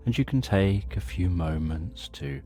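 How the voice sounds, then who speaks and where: A man narrating a sleep story in a calm, gentle, guiding way. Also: sits low, unhurried.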